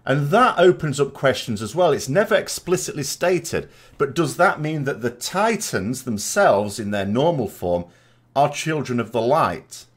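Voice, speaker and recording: A man's voice: medium pitch, very animated, unhurried, slightly rough. A man giving informal, speculative commentary as a gaming vodcast host, reasoning aloud as he goes. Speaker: video game lore commentator and vodcast host.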